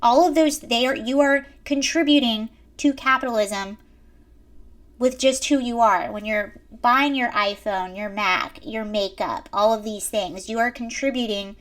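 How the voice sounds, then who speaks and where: High-voiced young woman lecturing emphatically. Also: a little slow, a bit bright. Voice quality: high-pitched voice. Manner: emphatic, lecturing, addresses viewers directly. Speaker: commentary host. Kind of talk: reaction commentary to camera.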